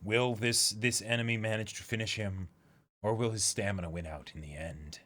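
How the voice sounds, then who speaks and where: A man's voice: fairly low pitch, steady tone, normal speed, bright, forceful tone. A man co-hosting a manga reading stream, chatting casually as he comments. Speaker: co-host of a livestreamed manga dramatic reading.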